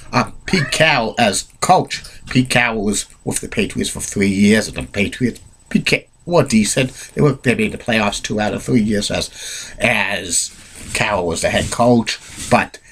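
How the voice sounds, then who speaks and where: A man's voice: medium pitch, measured pace, fairly bright tone, slight background noise. A man with a cold telling sports history off the cuff, rambling with many restarts and blunt opinions. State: has a cold. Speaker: amateur sports history narrator. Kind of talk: unscripted solo narration.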